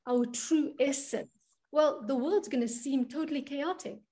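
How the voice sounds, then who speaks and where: A woman's voice: high voice, measured pace, warm tone. A woman from South Africa, a money and spiritual coach, talking earnestly in an interview and often checking in with "right?". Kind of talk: conversational interview.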